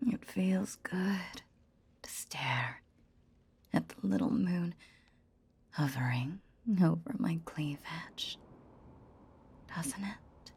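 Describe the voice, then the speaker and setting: Woman acting a very slow, whispering possessive goddess. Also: on the low side, a little mellow, heavily acted. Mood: hypnotic, soothing. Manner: slow, breathy whispering with long pauses, coaxing. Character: possessive, hypnotic goddess coaxing a captive pet, tender yet commanding. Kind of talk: whispered ASMR roleplay. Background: ambient sound effects.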